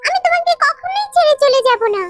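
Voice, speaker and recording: Super high squeaky girl ant in love, anxious, soapy drama. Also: lively intonation, quick pace, a bit bright, faint music behind. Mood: pleading. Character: lovelorn anxious female ant sweetheart. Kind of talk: cartoon voice acting, romantic melodrama.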